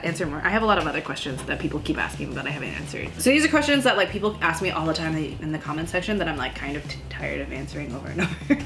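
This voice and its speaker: Low-voiced woman chatting casually. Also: animated, very fast, hardly any pauses. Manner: snarky, chatty, many fillers. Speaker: vegan food YouTuber. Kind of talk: casual chat.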